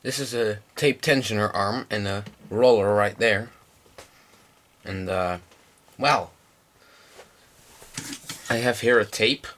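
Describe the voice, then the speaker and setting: A young man presents in English with a fairly low voice. He has a slight cold and talks informally, feeling his way through explanations like a hobbyist showing off equipment. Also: slow, long pauses, a bit bright. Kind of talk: casual product demonstration.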